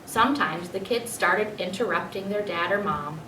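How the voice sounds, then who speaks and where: A woman reading a children's book aloud as expressive storybook narration. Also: mid-range voice, normal speed. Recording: roomy, distant mic, faint noise.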